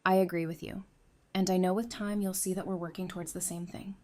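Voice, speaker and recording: A woman's voice: lowish voice, steady tone, a little fast, warm tone, slight background noise, natural voice. A woman in an audio drama playing a composed, perceptive psychiatrist turned survivor, earnest and self-assured. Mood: calm, firm.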